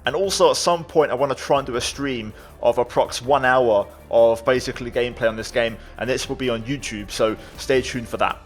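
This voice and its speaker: A man provides casual commentary as he plays a video game. His voice sits at an ordinary pitch and he keeps an upbeat flow, narrating his own play for viewers. Faint music plays behind him. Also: fairly quick, few pauses. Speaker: gaming video creator.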